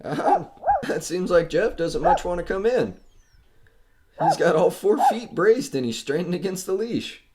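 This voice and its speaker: A man's voice: high voice, wildly swooping pitch, unhurried, darkish tone, slight background noise, exaggerated voice. A man acting in an audio horror drama as a skeptical, wisecracking radio man narrating a ghost hunt in a casual, hesitant way. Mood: coaxing, exasperated. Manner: casual, flippant, hesitant filler-strewn delivery. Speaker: host of a ghost hunt recording.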